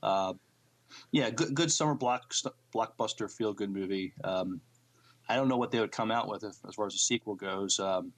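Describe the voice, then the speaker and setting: A man co-hosting a podcast, reading out entertainment news and commenting in a somewhat halting, unpolished way. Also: lowish voice. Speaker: podcast co-host.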